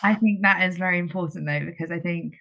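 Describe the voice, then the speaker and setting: A woman's voice: fairly low pitch, fast talker, creaky, pushed and bright, dull audio. A woman hosting a podcast, asking questions in a bubbly, enthusiastic way. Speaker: podcast host. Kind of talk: podcast interview.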